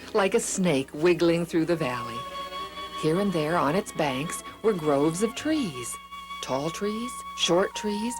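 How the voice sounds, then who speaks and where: Female storybook narrator, slightly low voice, measured and expressive delivery for young listeners, music underneath. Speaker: children's Bible story narrator.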